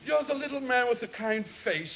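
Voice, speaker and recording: An actor playing a deranged jail cellmate in 1940s radio comedy, melodramatic and brooding, erupting into murderous rage. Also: male voice, extremely high pitch, animated, creaky, full character voice. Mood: remorseful. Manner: slow, melodramatic, menacing build-up. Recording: dull, noisy 1940s radio recording.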